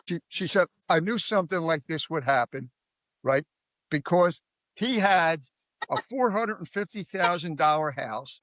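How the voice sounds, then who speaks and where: A man with a Philadelphia accent who talks through a case in a rambling, emphatic style. His voice sits a bit high for a man. It is recorded very dry, with no room sound. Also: fairly bright tone, no echo. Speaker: podcast guest. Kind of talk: casual true-crime discussion.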